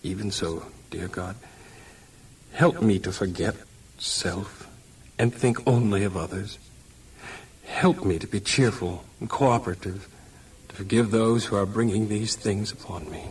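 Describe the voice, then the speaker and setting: An actor playing a patient, devout male prisoner, speaking gently and earnestly in a children's audio drama. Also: on the low side, measured pace, long pauses, husky, low-quality recording. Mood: prayerful. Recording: music underneath.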